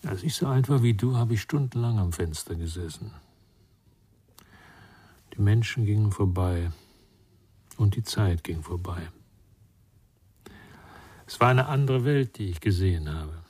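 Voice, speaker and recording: An actor playing a reflective older man, once a war refugee, speaking in a measured, confiding way in a radio drama. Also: lowish voice, expressive, a little mellow, slightly rough. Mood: reflective, gentle. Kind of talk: German radio crime drama acting.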